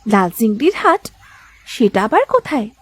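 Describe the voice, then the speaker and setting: A thrifty cartoon village wife, voiced by a woman, high-pitched and lively, recorded dry and close. She is doubtful and fretful, questioning whatever she is told. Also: moderate pace, breathy edge, dry recording. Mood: puzzled, doubting. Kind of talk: cartoon moral-tale dialogue.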